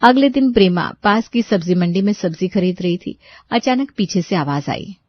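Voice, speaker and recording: A woman's voice: mid-range voice, lively intonation, moderate pace, dry recording, muffled recording. A woman storyteller reading scripted narration for a family moral story.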